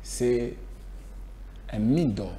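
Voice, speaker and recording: A man's voice: medium pitch, extremely slow, mellow, a little hiss or hum. A Congolese man hosting a talk show, telling history in a casual, repetitive storytelling way and mixing French with Lingala. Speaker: host.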